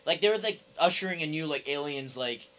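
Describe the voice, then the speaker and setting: A man's voice: fairly high pitch, animated, fairly quick, fairly bright tone. A young man in casual gaming chat with friends, a podcast co-host who talks tentatively with lots of "like". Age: young adult.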